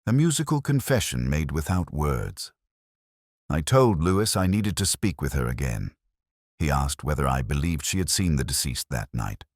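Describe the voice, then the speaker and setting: An older police detective played by a man narrates his notebook in a very low, deep voice. His delivery is solemn and measured, with a widely moving intonation. It is brooding, literary mystery-drama storytelling, recorded close and dry. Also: big pitch swings, no echo, exaggerated voice. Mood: solemn, wistful. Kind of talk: scripted first-person mystery narration.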